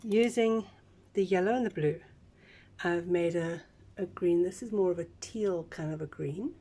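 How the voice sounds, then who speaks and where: A woman with a husky, breathy voice, a little croaky, explains a craft project one step at a time. Her voice sits low and her talk is relaxed, chatty and full of small asides. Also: normal speed. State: slightly croaky voice. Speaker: craft instructor. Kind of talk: casual step-by-step craft tutorial.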